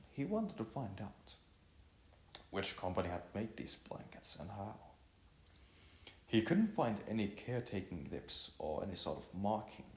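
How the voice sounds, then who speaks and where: A man's voice: low voice, a little mellow. A man narrating a story as an amateur reader, stumbling over phrases now and then and rereading them. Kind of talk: amateur narration of an audiovisual novel. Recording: roomy echo, faint music behind.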